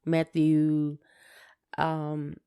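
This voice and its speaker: A woman chatting casually as a podcast co-host, in halting, repetitive phrases. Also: sits low, completely flat delivery, warm tone, clear, resonant tone. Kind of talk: casual conversational chat.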